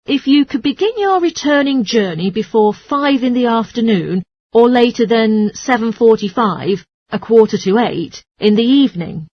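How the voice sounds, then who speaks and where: A woman's voice: on the high side, animated, moderate pace, a little mellow, no echo, low-quality recording. A woman playing a polite, helpful transport information clerk who explains things in a scripted exercise dialogue. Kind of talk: scripted listening-test dialogue.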